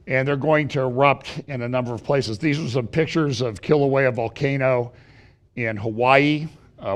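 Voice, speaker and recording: Rambling man, slightly raspy voice. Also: medium pitch. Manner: rambling, many fillers and restarts. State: slightly raspy from feeling unwell. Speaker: church prophecy teacher. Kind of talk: casual prophecy update talk.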